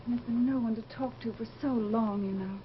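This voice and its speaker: An actress playing a lonely, yearning widow in a vintage radio horror drama, speaking graciously with wistful, dramatic intensity. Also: female voice, fairly high pitch, steady tone, brisk, natural voice. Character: lonely, yearning widow of a musician, haunted and eerie. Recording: noisy, dull vintage radio recording.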